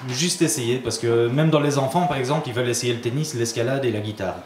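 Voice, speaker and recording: A man's voice: mid-range voice, fast. A man answering interview questions as a guitar teacher, explaining things informally in casual conversation. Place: indoor studio. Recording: roomy echo, clear background noise.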